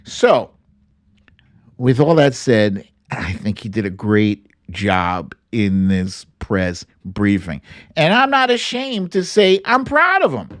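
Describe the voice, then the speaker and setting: A man's voice: on the low side, big pitch swings, slow, fairly bright tone. A man hosting a live political commentary stream, speaking casually and bluntly with salty slang and theatrical, opinionated delivery. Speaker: host of a political commentary livestream.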